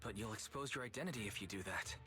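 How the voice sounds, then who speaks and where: A woman's voice: very deep voice, fast talker, music in the background. A woman voicing a concerned helper character in an anime game.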